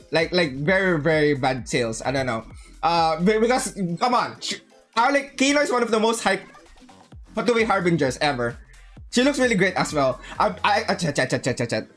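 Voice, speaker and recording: High pitched guy streamer, hyped slangy gamer chat, repeats himself a lot, music in the bg. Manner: excitable, slangy, repeats words and phrases. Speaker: livestreamer. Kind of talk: casual gaming livestream chat.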